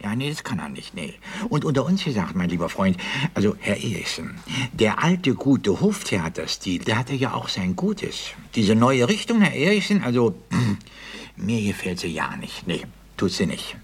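Pompous, husky, scatterbrained aristocrat. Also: male voice, lowish voice, very animated, heavily acted. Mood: grumbling, nostalgic. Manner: pompous, halting, repeats phrases. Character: pompous, scatterbrained old-school aristocratic court theatre official. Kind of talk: German radio play comedy acting.

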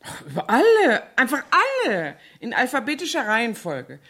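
A woman's voice: a little high, huge pitch swings, normal speed, fairly bright tone, slightly rough, exaggerated voice. An actress in a 1970s radio play voicing a gossipy cleaning woman who talks in a chatty, naive way. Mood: chatty gossip. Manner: chatty, matter-of-fact tattling.

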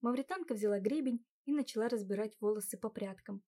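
Woman, audiobook narrator, even steady reading, warm tone. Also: medium pitch, fast talker. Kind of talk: scripted narration.

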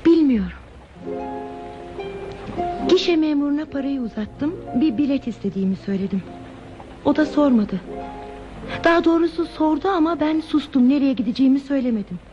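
Tiz sesli, gizemli, amaçsız yolcu kadın. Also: female voice, sits high, expressive, normal speed, long pauses, over music. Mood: evasive, detached. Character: aimless, enigmatic woman traveller, detached and wondering. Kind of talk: radio theatre acting. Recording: dull, lo-fi radio play tape, hissy.

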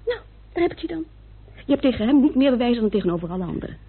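Very husky, insistent woman. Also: on the high side, sing-song, racing, heavily acted. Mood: insistent, questioning. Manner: coaxing, insistent. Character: caring, argumentative woman reasoning with her troubled partner. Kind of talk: old Dutch radio drama acting. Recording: muffled tinny old radio recording.

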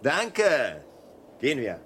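An actor playing an impatient, bossy spaceship leader in a radio play, with a sardonic manner. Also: male voice, medium pitch, huge pitch swings, slow, heavy background noise. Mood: threatening.

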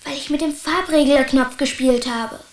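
High-voiced, earnest young boy. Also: fairly even intonation, moderate pace, reverberant, heavy background noise. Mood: worried. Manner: childlike, questioning. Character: earnest, curious young boy, stubborn with his mother. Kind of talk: children's radio play acting.

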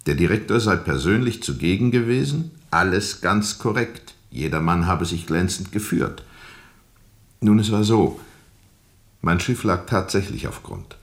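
An actor playing a brooding steamboat captain in a radio play, telling his story in a reflective, deliberate, formal and disillusioned way. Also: deep voice, animated. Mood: uncertain, evasive. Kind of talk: radio play narration, literary monologue.

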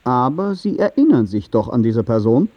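A man's voice: medium pitch, big pitch swings, moderate pace, dry recording, dull audio. An actor playing a firm, probing male judge in a German radio play, questioning formally and insistently.

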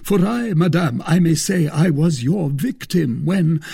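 A man's voice: a little high, lively intonation, measured pace, creaky, mellow, breathy edge, voice pushed up, heavily acted. A man voicing a vain, boastful male musketeer who declaims grandly and pompously. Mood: aggrieved. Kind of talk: audiobook character reading.

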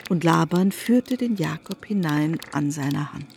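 A woman narrating a literary radio play in an even, solemn storytelling manner. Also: low voice, measured pace, creaky, slight background noise.

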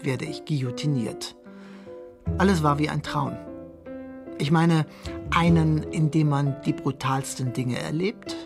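A man's voice: fairly high pitch, fairly even intonation, a little slow, creaky, long pauses. An actor playing a condemned husband who narrates his downfall in a detached, ironic, literary way for a radio drama. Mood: wry, resigned. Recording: music bed behind the voice.

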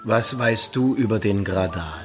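An actor playing a proud, jealous seeker of a holy relic in a radio drama. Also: male voice, fairly low pitch, unhurried, soft background music, natural voice. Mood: guarded, reverent.

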